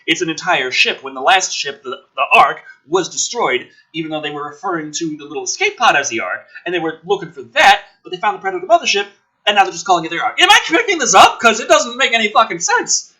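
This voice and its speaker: A man's voice: sits high, sing-song, fast talker, sharp tone, slightly rough, rough recording. A man reading a script dramatically and breaking off into sarcastic, exasperated, sweary commentary as the host.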